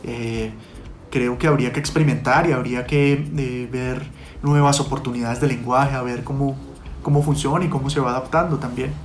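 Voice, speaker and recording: Man giving halting interview answers. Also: medium pitch, fairly even intonation, faint music behind. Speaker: podcast producer, interview guest.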